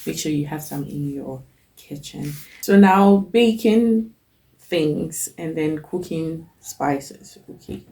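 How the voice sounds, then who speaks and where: A woman's voice: sits low, slow, dark tone, rough recording. A young woman hosting her cooking channel, chatting casually through a list with frequent ums while she has a cold. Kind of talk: casual talk to camera.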